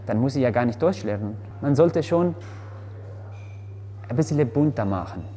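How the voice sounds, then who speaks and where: A man's voice: mid-range voice, animated, moderate pace, mellow. Young guy, earnest, some echo in a wooden room, faint hiss. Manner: earnest, slightly broken German with self-corrections. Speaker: interviewee, restaurant worker. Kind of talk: interview. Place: wooden mountain hut restaurant, indoors.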